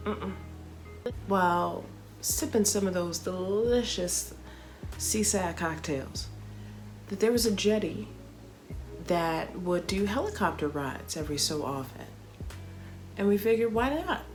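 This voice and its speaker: Comedian lady from chicago telling her trip story, chatty and playful, middle pitch, soft music behind. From Chicago, United States. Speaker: comedian and travel vlogger. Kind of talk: travel storytime narration.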